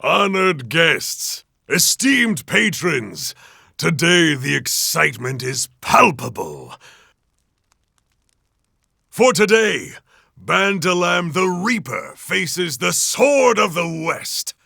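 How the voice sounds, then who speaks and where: An actor playing a pompous, scheming rich arena master who announces in a grandiose, drawn-out way in a video game. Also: male voice, a little high, big pitch swings, vocal fry, pushed and bright, breathy edge, no echo, clean studio sound, full character voice. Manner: theatrical, grandiose, drawn-out delivery.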